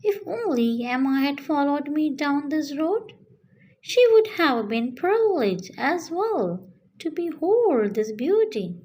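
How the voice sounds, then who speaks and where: A woman's voice: high voice, sing-song, takes it slowly, darkish tone, exaggerated voice. A woman voicing a vain, arrogant young princess in a children's story, with a haughty, dramatic delivery. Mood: smug admiration.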